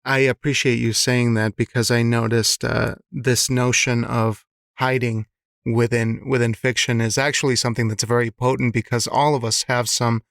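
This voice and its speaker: Male podcast host, gravelly fry, dry close sound, thoughtful interviewer. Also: mid-range voice, little pitch movement, moderate pace, a bit bright, no echo. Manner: thoughtful, restarts words, affirming. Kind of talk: interview questions.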